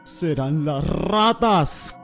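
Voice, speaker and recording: A man playing an excitable, theatrical young student in a radio drama. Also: on the high side, very slow, soft background music, heavily acted. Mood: annoyed. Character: excitable, scheming young male student, easily spooked.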